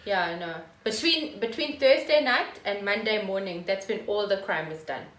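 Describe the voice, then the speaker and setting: Lively woman, distant and echoey. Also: medium pitch, normal speed. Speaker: podcast co-host. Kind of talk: casual chat with short interjections. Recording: echoey room, distant from mic.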